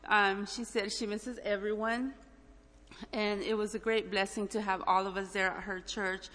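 A woman from El Salvador giving an informal church testimony in a halting, gently earnest, emotional way. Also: mid-range voice, level tone. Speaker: church mission trip member giving a testimony. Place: large indoor church hall, speaking up front. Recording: echoey hall with long reverb.

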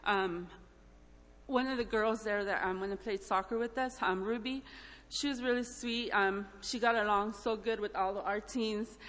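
A woman from El Salvador giving an informal church testimony in a halting, gently earnest, emotional way. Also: mid-range voice, steady tone. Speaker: church mission trip member giving a testimony. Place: large indoor church hall, speaking up front. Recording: echoey hall with long reverb.

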